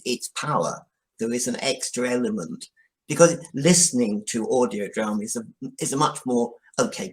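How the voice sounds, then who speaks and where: A man giving reflective interview answers as a guest, explaining things in a thoughtful, halting way. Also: medium pitch, rough recording. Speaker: guest, drama writer.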